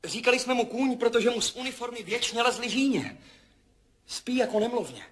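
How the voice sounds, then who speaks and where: An actor in a 1970s radio play voicing a dazed, frightened pilot who reports in short, halting phrases. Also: male voice, sits high. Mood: bewildered. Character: dazed, frightened male pilot drifting between memory and death. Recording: 1970s radio drama, loud music behind.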